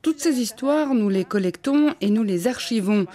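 A woman voicing a seniors' centre director in a plain, measured interview voice-over. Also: a little high, animated, dark tone, close to an everyday voice. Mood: earnest, appreciative.

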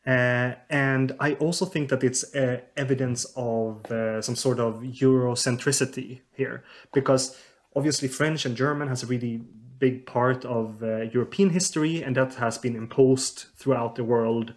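Linguist guy from south sweden explaining stuff in an interview, lots of uhs, mid pitch. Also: steady tone, normal speed. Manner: thoughtful, hesitant with many fillers. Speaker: linguist guest.

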